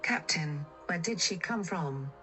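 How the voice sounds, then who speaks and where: A woman's voice: sits low, lively intonation, unhurried, music in the background, low-quality recording. A woman playing an informative spaceship assistant in a sci-fi radio drama, matter-of-fact in manner. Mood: puzzled.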